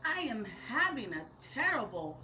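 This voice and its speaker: Lady reading as a grumpy little storybook boy, high voice, complains slowly and dramatically. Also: big pitch swings, sharp tone, recorded from a distance, a little hiss or hum, heavily acted, rough recording. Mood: exasperated. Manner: emphatic, complaining. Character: grumbling young boy having a miserable day.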